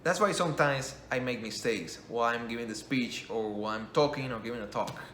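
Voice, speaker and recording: A man's voice: mid-range voice, brisk, a bit bright, slight background noise. A man talking in English, which is not his first language, giving a casual spoken review with many restarts and self-corrections. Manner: rambling, self-correcting, enthusiastic. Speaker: game commentator. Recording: echoey room, faint ambience.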